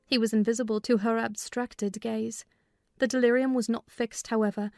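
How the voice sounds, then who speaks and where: A woman narrator reading a classic novel as a dramatized audiobook, in an expressive literary manner. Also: on the high side, no echo, studio quality. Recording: music behind the voice.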